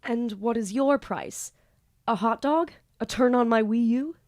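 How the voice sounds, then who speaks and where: A woman's voice: a little high, a little slow, a little mellow, lightly acted. A woman playing an awkward, eager young student who dabbles in demon summoning, in an amateur audio drama. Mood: awkward, pleading. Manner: casual, hesitant, self-deprecating. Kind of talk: amateur audio drama acting with first-person narration. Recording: echoey room.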